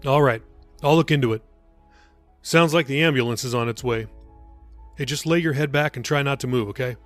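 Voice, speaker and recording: A man reading the part of a calm, helpful police officer in an audiobook, casual and reassuring. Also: medium pitch, fairly quick, dry recording, soft background music, close to an everyday voice. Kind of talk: audiobook character dialogue.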